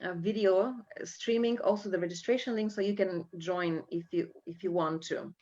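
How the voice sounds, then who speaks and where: A woman hosts a live webinar from her computer, her speech full of ums and uhs as she gathers her thoughts. The call audio sounds dull and lo-fi. Her pitch sits in an ordinary middle range. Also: normal speed, darkish tone. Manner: hesitant, many fillers. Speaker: webinar host and coach trainer. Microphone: computer mic on video call.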